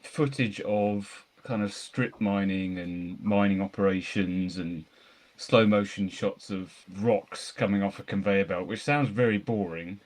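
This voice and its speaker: Low-pitched male podcast co-host, rambling, enthusiastic film chat. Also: measured pace. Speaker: podcast co-host reviewing films. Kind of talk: casual film discussion.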